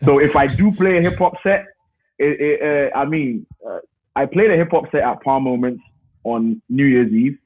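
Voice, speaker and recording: A man's voice: mid-range voice, normal speed. A man, talking casually and emphatically as an interview guest on a call. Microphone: remote call. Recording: thin phone-like call audio.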